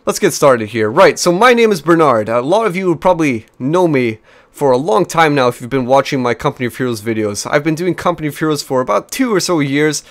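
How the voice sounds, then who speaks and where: A young man in his twenties talks through a strategy game replay in a chatty, energetic way, with plenty of fillers and restarts. His voice sits a little high for a man, and it comes across a desk microphone. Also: a little fast. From New Zealand. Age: 20s. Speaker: game caster. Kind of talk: replay commentary.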